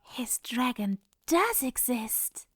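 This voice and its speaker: An actress playing a composed, protective goddess in a fantasy audio drama, speaking in a measured, formal way. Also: female voice, a little high, sing-song, breathy edge, no echo, studio quality, exaggerated voice. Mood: calm, measured.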